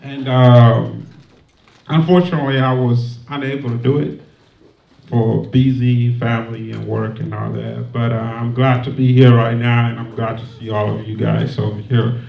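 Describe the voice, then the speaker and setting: A man from Somalia, raised in Kenya, talks to the crowd from the stage in a relaxed, easygoing way, with plenty of fillers and laughs. His voice reaches the mic from a distance in an echoey room. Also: medium pitch, moderate pace, creaky, lo-fi sound. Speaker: singer and guest performer. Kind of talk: casual stage introduction.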